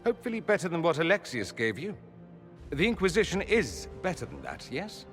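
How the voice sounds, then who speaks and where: An actor playing a suave mage in a video game, dry-witted and dramatic with a wounded streak. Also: male voice, fairly high pitch, wildly swooping pitch, brisk, fairly bright tone. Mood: wry. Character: suave, theatrical mage man, wry and wounded. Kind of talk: video game cutscene acting. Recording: music behind the voice.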